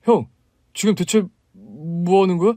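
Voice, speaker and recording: A man playing a protective younger brother-in-law in a spoken story, forceful and commanding, shouting when angered. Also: medium pitch, normal speed, dry recording, lightly acted. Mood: puzzled.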